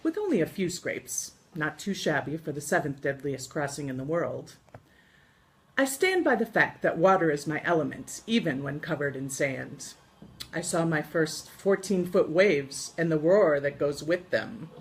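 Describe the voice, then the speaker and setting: A woman's voice: low voice, animated, unhurried, slight background noise. American woman reading her memoir expressively. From United States. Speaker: author reading from own book. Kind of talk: live reading of a scripted first-person travel memoir.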